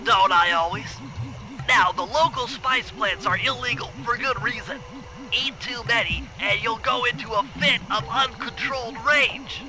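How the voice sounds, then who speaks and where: A man's voice: very high-pitched, measured pace, music in the background, poor audio. A man voicing a brainy, fussy turtle who plans missions in a video game, speaking in precise, wordy explanations. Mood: explaining, matter-of-fact. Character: brainy, fussy nerdy planner turtle, proud strategist. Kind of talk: video game cutscene acting.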